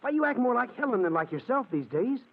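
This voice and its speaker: An actor playing a worried, devoted husband in a 1940s radio mystery, earnest and stammering. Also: male voice, very high voice, big pitch swings, fast, heavily acted. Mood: exasperated. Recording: tinny old radio recording.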